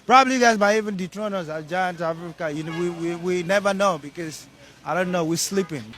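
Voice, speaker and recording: A man from Nigeria answering street interview questions in an upbeat, relaxed way, often saying "you know". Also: high voice, a little fast, a little hiss or hum.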